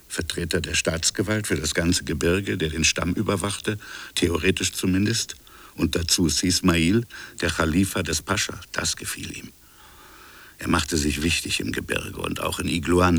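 A man playing a reflective French colonial official in a literary radio drama, recalling a buried affair in musing, fragmentary phrases with a gravelly, husky voice. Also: deep voice, normal speed, fairly bright tone, no echo, full character voice. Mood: guarded, recollecting. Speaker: narrator and protagonist.

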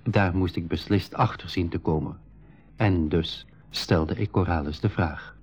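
A man playing a curious young boy adventurer who narrates and talks in a clearly enunciated radio drama style. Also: low-pitched, measured pace, faint music behind. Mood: reflective narration. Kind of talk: 1980s school radio drama, narration and dialogue. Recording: dull lo-fi radio recording.

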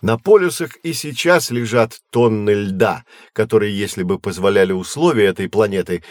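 An actor playing a patient, knowledgeable teacher in an educational audio drama, explaining in measured, clear phrases. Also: male voice, on the low side, no echo, close to an everyday voice. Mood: explanatory. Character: patient, knowledgeable male astronomy teacher guiding children.

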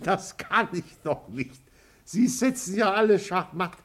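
Jovial, unhurried medical officer. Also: male voice, high voice, lively intonation. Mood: amused refusal. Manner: amused, exclamatory. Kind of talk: German radio play acting.

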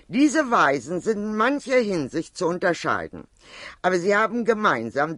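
Male lecturer, slightly high register, scripted academic address, careful and exact phrasing, very little room sound. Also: bright, forceful tone, no echo, clean studio sound. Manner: measured, formal, precise. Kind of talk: formal scripted philosophical lecture.

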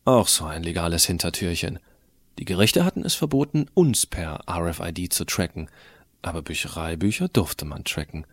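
A man playing a tech-savvy, rebellious high school student who narrates his story in an explanatory, colloquial way. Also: animated, normal speed, dry recording. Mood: conspiratorial, matter-of-fact. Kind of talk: scripted audio drama narration.

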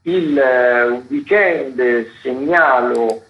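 A man presents theatre and dance listings on the radio in a semi-scripted style. He pauses and stretches words as he goes. The sound is echoey and slightly dull, at a medium pitch.

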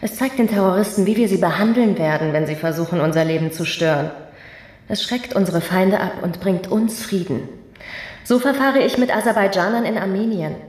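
An actress playing a ruthless, contemptuous security official in a radio drama, cold, menacing and sure of herself. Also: female voice, medium pitch, a little fast, darkish tone, close to an everyday voice. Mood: cold, righteous. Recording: roomy, echoing studio acoustic.

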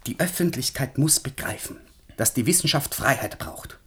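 A man's voice: mid-range voice, animated, moderate pace, fairly bright tone, husky. Stubborn, idealistic male scientist. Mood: indignant, insistent. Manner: insistent, probing, articulate. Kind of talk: German radio drama acting.

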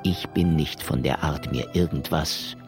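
A brooding, defiant private eye telling his story in a radio play. The voice sits extremely low and crackles with gravel, unfolding deliberately over music. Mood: grim, defiant. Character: male hard-boiled detective narrator, brooding and defiant. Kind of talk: noir radio drama narration.

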